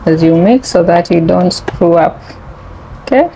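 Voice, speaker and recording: A woman's voice: fairly low pitch, a little slow, mellow. A woman with a Kenyan accent chats casually as she cooks for a vlog, mixing English and Swahili and explaining as she works. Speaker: vlogger. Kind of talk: casual cooking vlog chat. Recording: clearly noisy home recording. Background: household noise.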